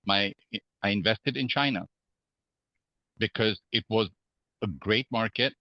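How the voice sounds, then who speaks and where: Low-voiced man, muffled dry sound. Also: no echo. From Hong Kong. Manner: measured, many fillers and restarts. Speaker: guest real estate investor. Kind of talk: podcast interview.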